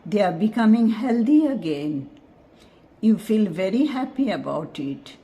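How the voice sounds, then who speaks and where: A woman leading a scripted guided meditation in a measured, soothing, instructive manner. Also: medium pitch, sing-song, warm tone.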